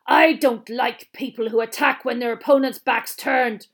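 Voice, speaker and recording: A woman voicing a fierce old male wizard professor with a gravelly growl, blunt and menacing, in a storybook reading. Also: high-pitched, moderate pace, a bit bright, pitched above the performer's own voice. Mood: angry, growling.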